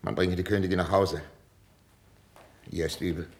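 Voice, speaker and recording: An actor playing a proud, jealous older king in a classical radio drama, imperious and theatrical, swinging from hushed menace to outbursts. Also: low voice, steady tone, moderate pace, a little hiss or hum. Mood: hushed, suspicious. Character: proud, jealous, volatile older Spanish king, tormented by suspicion. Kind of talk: 1950s German classical radio drama acting.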